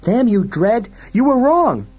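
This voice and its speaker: Audiobook sidekick: male narrator as motor-mouthed male crook, high, husky, aggrieved, wisecracking, easily panicked. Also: sing-song, normal speed, darkish tone. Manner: motor-mouthed, complaining, stammering. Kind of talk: dramatised audiobook science-fiction dialogue.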